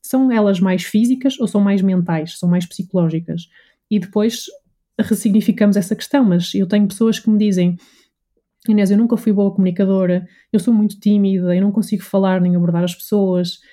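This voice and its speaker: Very mellow Portuguese woman explaining. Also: mid-range voice, steady tone, brisk. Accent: European Portuguese. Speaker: voice and communication coach, interview guest. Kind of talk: conversational interview explanation.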